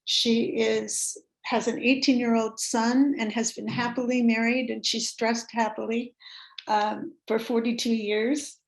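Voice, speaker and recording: A woman's voice: a little high, level tone, unhurried, creaky, poor audio. A woman realtor speaking from her office, introducing a guest in a friendly, slightly flustered way. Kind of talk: formal introduction.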